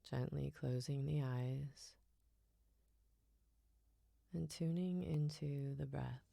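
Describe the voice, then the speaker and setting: A woman guiding a relaxation meditation in a soft, soothing, unhurried way, her phrases often trailing off breathily toward a whisper. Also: rumbling low, fairly even intonation, creaky, no echo. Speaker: yoga nidra guide.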